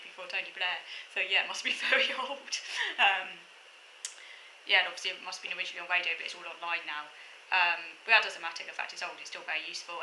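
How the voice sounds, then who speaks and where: UK woman, casual home vlogger, low-ish voice, chatty and meandering, faint hiss behind. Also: bright, forceful tone, echoey room, low-quality recording. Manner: chatty, many ums and restarts, self-deprecating asides. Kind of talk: casual rambling monologue. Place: room at home.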